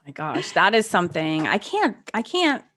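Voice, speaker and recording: A woman's voice: fairly low pitch, sing-song, normal speed, fairly bright tone. An American woman co-hosting a casual podcast chat over a video call from home, enthusiastic and swearing casually. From United States. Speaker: podcast co-host. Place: home room.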